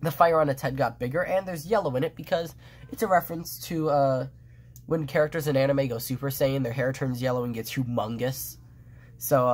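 A man whose nose is blocked up, so every word comes out nasal and thick. He talks loosely and casually, restarting sentences and padding with fillers as he shows off his drawings. He sounds like a phone propped over a tabletop indoors. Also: fairly high pitch. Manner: rambling, many restarts and fillers. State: stuffed nose, sniffling. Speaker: fan artist presenting his drawings. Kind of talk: casual show-and-tell commentary.

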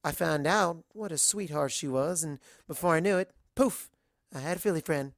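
A narrator voicing a friendly, chatty waitress pony who gently reassures and encourages in a read-aloud story. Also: medium pitch, moderate pace, dry recording, studio quality, voice pushed up, lightly acted. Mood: reassuring, chatty.

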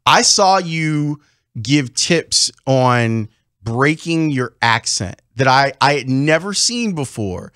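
A man's voice: mid-range voice, lively intonation, slow pace, fairly bright tone, dry recording. A middle-aged man hosting a sports radio show, asking a studio guest curious, leading questions. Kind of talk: radio interview. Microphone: studio mic with headphones.

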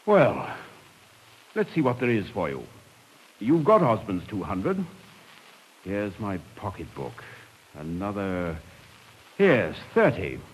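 An actor playing a bluff, devoted English cavalry officer with a clipped manner in a 1950s radio drama. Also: male voice, on the low side, huge pitch swings, slow, long pauses, slightly rough, exaggerated voice, poor audio. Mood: tender, earnest. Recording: hissy 1950s radio recording.

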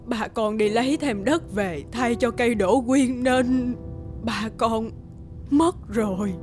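A woman's voice: a little high, slow. A woman voicing a caring, firm middle-aged aunt in a cartoon. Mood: distressed. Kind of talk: cartoon voice acting. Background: prominent music.

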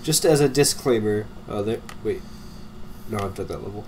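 A man's voice: fairly low pitch, normal speed, darkish tone, a little hiss or hum. Congested young man chatting over gameplay. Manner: rambling, laughs often, trails off. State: congested, nasal from a cold. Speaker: let's play commentator. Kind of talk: casual gameplay chat.

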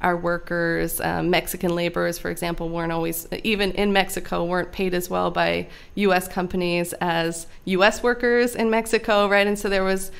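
An American woman speaking as an academic guest in an interview, explaining in a measured, conversational way and often checking with "right?". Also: fairly low pitch, little pitch movement, creaky, smooth. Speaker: academic guest, lecturer on immigration history. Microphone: podcast microphone on a stand.

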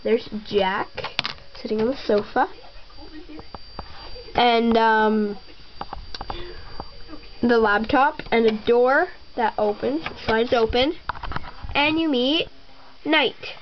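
A young girl giving a rambling tour of her toy horse barn at home, with many ums and pauses. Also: fairly high pitch, a little hiss or hum. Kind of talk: casual home video narration. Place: bedroom at home. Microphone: handheld camera mic. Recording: slightly dull lo-fi home camera audio.

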